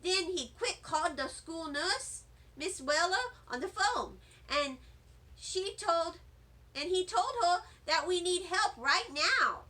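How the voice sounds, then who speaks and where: A woman reading a children's storybook as an excitable, dramatic little first-grade girl, with childlike grammar and emphatic delivery. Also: very high voice, slow, full character voice. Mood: breathless, dramatic. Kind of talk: children's storybook read-aloud.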